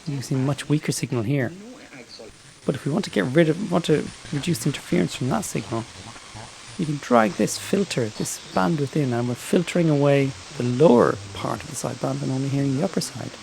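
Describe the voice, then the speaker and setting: A man's voice: on the high side, a little fast, vocal fry, a little mellow, low-quality recording. A man giving a casual screen-share tutorial, thinking aloud and explaining step by step in an informal, exploratory way. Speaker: tutorial presenter. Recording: shortwave radio noise and chatter behind. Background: radio static, signals and faint voices.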